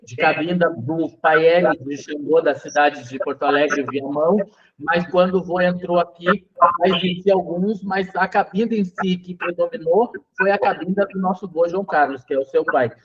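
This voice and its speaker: A man hosting an interview, speaking in a respectful, affirming way with drawn-out phrases. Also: fairly high pitch, fairly even intonation, slightly rough. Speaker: podcast host, interviewer. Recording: muffled lo-fi recording.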